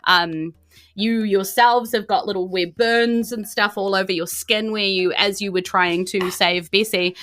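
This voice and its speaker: A woman game master improvising narration for a tabletop game, with animated storytelling and halting asides. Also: mid-range voice. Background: faint music.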